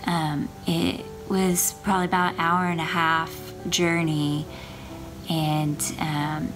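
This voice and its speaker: Slow, deep-voiced woman over music. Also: little pitch movement, poor audio. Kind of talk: candid personal storytelling.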